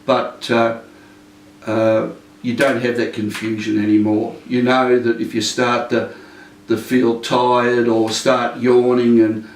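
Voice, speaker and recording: An older man, a retired police drill instructor, being interviewed in a casual, rambling way with many fillers and restarts and a naturally loud voice. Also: mid-range voice, little pitch movement, slow, a little hiss or hum. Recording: echoey room, mic at a distance.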